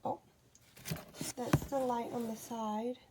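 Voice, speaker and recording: Slow, halting woman, noisy recording. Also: fairly high pitch, level tone. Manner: plain, halting, pointing out parts. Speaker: product demonstrator. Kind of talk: simple step-by-step product walkthrough.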